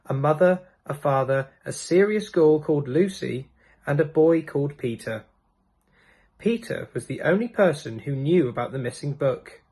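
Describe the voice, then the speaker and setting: Lively, higher-voiced man reading a storybook. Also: normal speed. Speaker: storybook reader. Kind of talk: scripted narration of a children's storybook.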